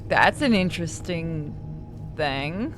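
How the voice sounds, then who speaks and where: A woman's voice: deep voice, expressive, slow pace, fairly bright tone, faint music behind. A woman hosting a game stream, chatting casually with friends in an informal, sweary, exclamatory way. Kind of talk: casual gaming commentary with friends.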